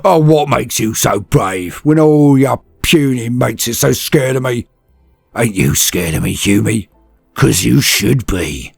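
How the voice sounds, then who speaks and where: A hulking orc warlord with a gravelly, husky bark, as a male narrator puts him on, taunting his foe in coarse slang. He sounds bigger and rougher than an ordinary man. The delivery is dry and close, with faint music behind. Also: mid-range voice, expressive, a little slow, no echo, voice pushed up, heavily acted. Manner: gruff slangy barking, coarse grammar.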